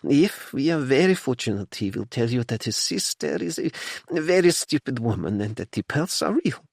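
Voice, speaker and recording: A man voicing an earnest, higher-pitched society lady. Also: big pitch swings, moderate pace, gravelly, no room sound, studio quality. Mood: earnest, reassuring. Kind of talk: audiobook character dialogue.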